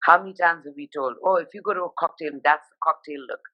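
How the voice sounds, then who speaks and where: Woman guest, Nepali first language, lively and self-deprecating, muffled video-call sound. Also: sits low, quick pace. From India (Sikkim). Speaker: guest, fashion journalist. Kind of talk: conversational interview over live video.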